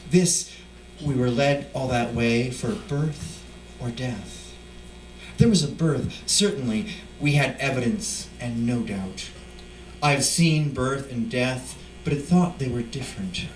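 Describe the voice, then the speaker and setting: A man giving a live poetry reading, speaking deliberately in short emphatic phrases. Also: medium pitch, expressive. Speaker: poet reading at a live event. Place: indoor live reading venue. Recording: distant mic, echoey room, faint noise.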